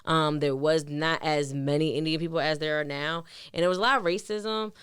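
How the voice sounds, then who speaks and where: A woman's voice: sits low, fairly even intonation, a little fast, fairly bright tone, clean tone, dry recording, clean studio sound. A woman in her thirties from New Jersey with a Jersey accent. She is a therapist who is a guest on a casual podcast, talking loosely with many fillers. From New York City and central New Jersey.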